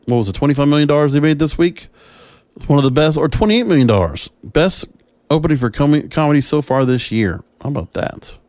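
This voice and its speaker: A man's voice: a little high, expressive, fairly quick, a little mellow, dry recording. A man hosting a solo podcast, giving conversational opinion commentary on entertainment news, often asking rhetorical questions and rephrasing himself mid-sentence. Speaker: podcast host.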